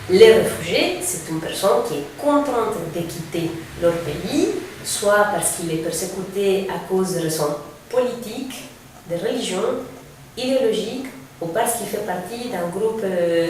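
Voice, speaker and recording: A woman's voice: medium pitch, lively intonation, measured pace, darkish tone. Brief: woman, 30s, from Mexico, explains things steadily with fillers, very echoey room, mic set well back. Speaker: refugee aid coordinator. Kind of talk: presentation to camera. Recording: very echoey room, distant mic, faint noise.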